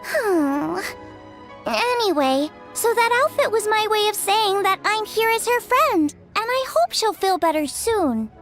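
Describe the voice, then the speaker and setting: Sweet caring anime-game girl, really high youthful voice, lively and friendly. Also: a little slow, music in the background, exaggerated voice. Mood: concerned. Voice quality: youthful, girlish. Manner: friendly, earnest. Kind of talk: English video game dub acting.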